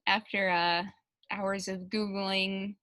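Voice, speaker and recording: A woman's voice: medium pitch, little pitch movement, slow, sharp tone, dry recording. A woman on a casual panel, reading out translated answers and adding brief comments.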